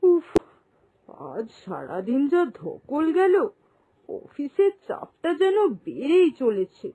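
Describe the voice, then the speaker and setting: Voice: sits high, huge pitch swings, slow pace, dark tone, full character voice, rough recording. A performer voicing a weary, easygoing office-going husband in a moral-story cartoon, homely and plain in manner.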